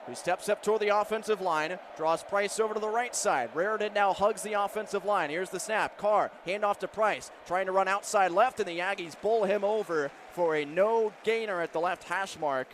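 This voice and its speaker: An American man calling live football play-by-play on the radio, describing each move and building to excited calls. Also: high voice. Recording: clear stadium noise behind broadcast commentary. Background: outdoor stadium ambience.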